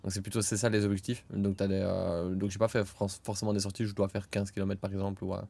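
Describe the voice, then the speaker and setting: A young man co-hosting a podcast in casual chat, hesitant with many fillers and bursts of loud laughter. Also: low-pitched, flat delivery, fast talker, vocal fry. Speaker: podcast co-host.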